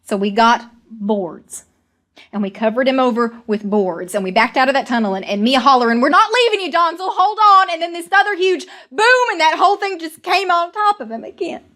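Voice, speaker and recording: A woman playing a plainspoken young coal miner, telling a story in halting, folksy rural speech with repeated words. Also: on the high side, a bit bright, natural voice. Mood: urgent, alarmed. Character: young coal miner recalling a deadly cave-in, plainspoken, earnest. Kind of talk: dramatic first-person storytelling monologue.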